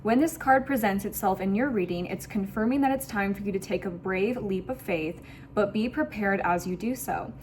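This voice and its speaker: A woman's voice: mid-range voice, moderate pace, a little mellow, slight background noise. A woman giving a spiritual tarot reading to viewers, speaking in an effusive, emphatic way and often repeating phrases. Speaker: tarot reader hosting an online reading.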